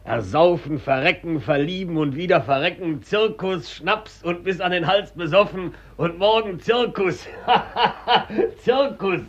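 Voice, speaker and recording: A man's voice: sits high, a little slow. An actor playing a cynical drinking companion in a radio play, morbid and sardonic in his declaiming. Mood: sardonic, bleak. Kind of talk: post-war German radio play acting. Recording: dull old radio recording.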